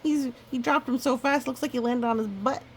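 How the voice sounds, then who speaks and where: A woman reading a children's picture book aloud, expressive and exclaiming, with chatty asides to young listeners. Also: on the high side, a little fast, a little hiss or hum.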